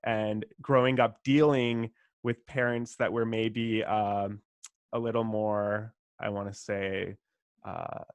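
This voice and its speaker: A man's voice: fairly low pitch, flat delivery, slow, smooth, no room sound. A man with a Canadian accent hosting a podcast, asking questions in a casual, rambling way. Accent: Canadian English. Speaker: podcast host. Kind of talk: podcast interview.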